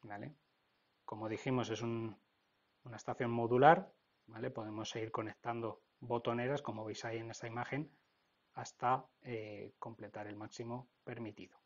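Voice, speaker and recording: A man's voice: mid-range voice, fairly even intonation, normal speed, highs cut off. A man with an accent from Spain narrating an informal technical tutorial, explaining step by step and often checking in. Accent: Spain Spanish. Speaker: technical instructor.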